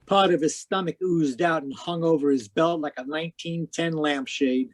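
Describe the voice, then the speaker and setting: Casting: male actor for hardboiled 1940s radio detective, lighter-pitched voice, dry wisecracks, world-wise and cynical. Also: steady tone, moderate pace, natural voice. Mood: wry, world-weary. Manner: wry, terse, slangy delivery.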